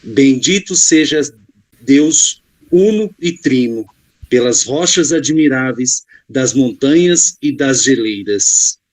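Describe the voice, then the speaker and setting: Man reading a prayer slowly. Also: medium pitch, lively intonation, low-quality recording. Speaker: prayer reader.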